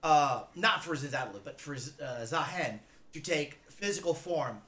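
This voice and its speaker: A man giving casual reaction commentary on game lore, informal and halting as he searches for names. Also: on the high side, expressive, pushed and bright. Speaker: reactor, drag performer and cosplayer.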